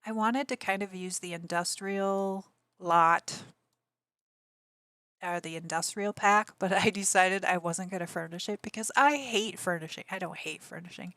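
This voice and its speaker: A woman streamer with a slightly raspy voice, chatting casually and answering her viewers. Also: on the low side, unhurried, bright, forceful tone, dry recording. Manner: rambling, chatty, answers chat messages aloud. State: allergies. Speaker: game streamer.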